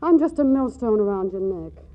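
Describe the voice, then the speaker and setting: An actress playing a restless, exasperated but loving young wife in a 1940s radio comedy, with fluttery, stammering delivery. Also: female voice, medium pitch, lively intonation, moderate pace, lightly acted. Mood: earnest, apologetic. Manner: flustered, fluttery, stammering repeats. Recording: dull 1940s radio broadcast recording.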